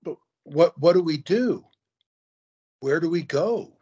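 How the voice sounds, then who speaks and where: Male actor as bewildered lover, fantasy radio play: lightish pitch, slow, halting, slightly lo-fi. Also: animated, mellow, husky, exaggerated voice. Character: unsure, hesitant man in love. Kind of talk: fantasy audio drama acting. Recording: dull lo-fi sound.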